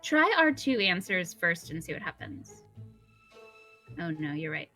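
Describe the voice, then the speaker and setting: Bright, animated young woman gaming. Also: fairly low pitch, faint music behind. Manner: chatty, animated, quick to laugh. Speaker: co-player. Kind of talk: casual let's-play chat.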